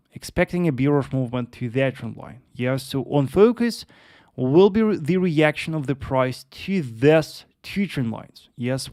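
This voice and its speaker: A man's voice: a little high, darkish tone, no room sound, studio quality. A young man from Russia with a Russian accent, hosting a live trading analysis stream and answering viewers' chat questions patiently, with frequent "uh" and "yes.". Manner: patient, repetitive, lots of uh and yes. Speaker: trading livestream host.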